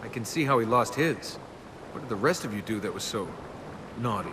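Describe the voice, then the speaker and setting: Man voicing a cocky, animated young adventurer. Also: on the low side, fairly quick, noisy background, close to an everyday voice. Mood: wry banter. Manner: sardonic, flippant, bantering. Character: cocky, wisecracking young male adventurer hero. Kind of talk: video game cutscene voice acting. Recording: music behind the dialogue. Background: game score.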